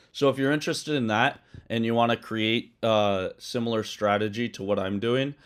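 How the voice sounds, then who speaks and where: A man hosting a live coding stream, talking loosely with many ums and uhs and correcting himself as he goes, his voice a bit hoarse. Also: medium pitch. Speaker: livestream host coding a trading tool. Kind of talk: casual live-stream explanation.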